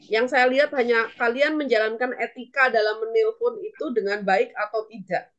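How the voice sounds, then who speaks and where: Female Indonesian lecturer, higher-pitched, instructive delivery for an online language class with example phrases. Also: fairly even intonation, normal speed, muffled recording. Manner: instructive, repeats and translates phrases. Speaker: English lecturer.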